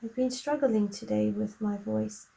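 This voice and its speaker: Slow, croaky woman with a gravelly voice. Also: mid-range voice, poor audio. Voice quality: slow, soft-spoken and gentle. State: croaky voice with a lingering cough. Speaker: coloring tutorial host. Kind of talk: relaxed chatty tutorial.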